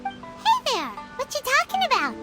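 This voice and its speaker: A woman voicing a tiny, excitable fairy companion who talks about herself in the third person. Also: very high-pitched, moderate pace, loud music behind the voice, heavily acted. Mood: excited. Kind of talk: video game voice acting.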